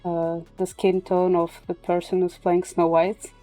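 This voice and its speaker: Woman livestreamer, native Portuguese speaker. Relaxed, dark-toned voice, casual and sometimes halting delivery, faint music underneath. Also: on the low side. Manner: halting, searches for English words. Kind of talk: casual chat. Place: indoor livestream with music behind.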